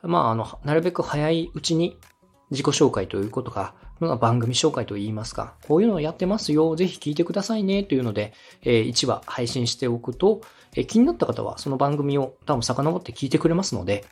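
A man's voice: medium pitch, big pitch swings, fairly quick, gravelly, a little mellow, breathy edge, faint music behind. A man hosting a podcast, giving advice in a polite, encouraging, conversational way. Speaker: podcast host, radio director giving advice. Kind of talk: conversational coaching, polite explanation.

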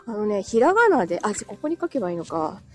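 A woman's voice: medium pitch, very animated, rapid-fire, soft background music. A woman chatting casually and politely with viewers on a livestream, laughing often, a little breathless as she walks uphill while recovering from illness. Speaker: livestream host. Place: outdoors, walking on narrow hilly street.

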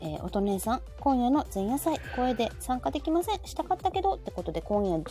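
A woman host speaks with a Kansai accent in an easy, conversational style. Her mellow voice swings freely up and down as she reacts and chimes in expressively. Faint music plays underneath. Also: a little high, fast talker. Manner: expressive, reacting with frequent backchannels. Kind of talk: casual chat.

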